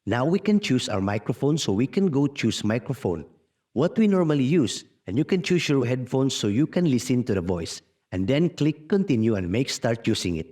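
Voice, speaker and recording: A man narrating a casual step-by-step software tutorial in an instructional way, with non-native-sounding phrasing. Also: mid-range voice, big pitch swings, moderate pace. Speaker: tutorial host.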